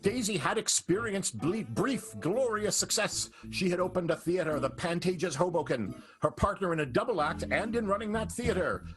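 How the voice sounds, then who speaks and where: A man's voice: sits high, expressive, moderate pace, few pauses. A man hosting and narrating a radio show in a playful, showy, dramatic announcer style. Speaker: host and narrator. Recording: music bed behind the voice.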